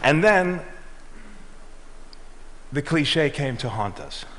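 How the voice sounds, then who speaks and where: A middle-aged man giving a prepared talk on stage, telling a measured story and letting his lines trail off. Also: fairly high pitch, very animated, fairly bright tone, a little hiss or hum. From Switzerland and Ghana. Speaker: speaker. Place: large auditorium. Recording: reverberant hall.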